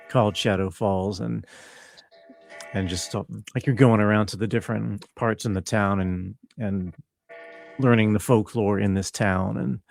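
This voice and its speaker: A man chatting casually as an interview guest, explaining his creative work in a laid-back way with pauses. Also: lowish voice, creaky. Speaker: interview guest, composer and musician. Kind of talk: casual conversational interview talk.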